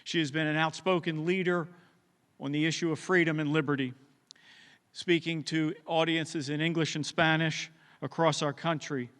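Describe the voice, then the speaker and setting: A man's voice: a little high, steady tone, normal speed, a bit bright, clear, resonant tone. A man formally introducing the next speaker at a conference. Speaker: event presenter introducing a speaker. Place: large hall at a conference. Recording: reverberant hall, amplified speech.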